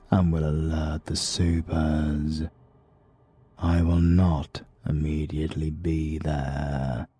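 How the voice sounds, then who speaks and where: A menacing supernatural advocate from a dark audiobook, played by a man. He speaks British English in an extremely deep, husky voice, very slowly and formally. His cold confidence never breaks. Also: heavily acted. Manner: unhurried, formal, coldly confident.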